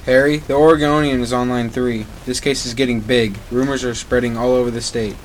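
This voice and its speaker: A man's voice: mid-range voice, steady tone, brisk, a little hiss or hum, natural voice, poor audio. A man playing an earnest, sensible, matter-of-fact police officer in an amateur old-time radio drama. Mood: concerned.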